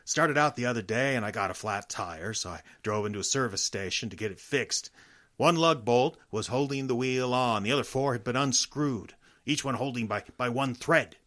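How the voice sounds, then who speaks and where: A man acting in a radio drama as a wealthy, suspicious father of sixty-eight, plain-spoken and given to halting hesitations. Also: medium pitch, a bit bright, lightly acted. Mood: uneasy. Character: wealthy 68-year-old father, suspicious of his parasitic sons.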